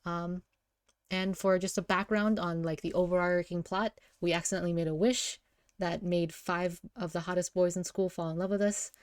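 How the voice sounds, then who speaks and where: A woman's voice: lowish voice, steady tone, normal speed, smooth, no echo, studio quality. A woman reading a story aloud as casual narration, with an informal, wry delivery. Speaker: story reader.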